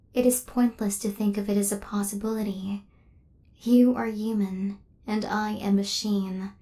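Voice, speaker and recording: Soft, even-toned affectionate female robot played by a woman. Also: medium pitch, close to an everyday voice. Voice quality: soft, often whispery. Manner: measured, formal, literal phrasing without contractions. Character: affectionate female killer robot, literal-minded, devoted to her human. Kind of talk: ASMR roleplay, intimate scripted monologue.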